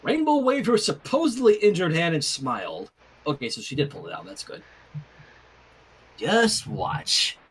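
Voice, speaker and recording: High-voiced man, very animated reader. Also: brisk, husky. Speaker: fan fiction reader. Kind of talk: animated story reading with casual asides.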